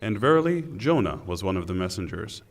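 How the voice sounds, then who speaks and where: A man reading a scripture translation in a solemn, formal, deliberate way, with pauses. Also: lowish voice, big pitch swings. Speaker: translation reader. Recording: long hall reverb.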